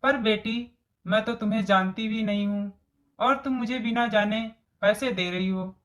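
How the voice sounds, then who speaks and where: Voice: medium pitch, fairly even intonation, normal speed, a bit bright, lightly acted. A voice actor playing a scheming, greedy middle-aged mother-in-law in a story cartoon, with exaggerated storybook delivery. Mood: sweet, polite. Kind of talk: Hindi moral story cartoon dialogue.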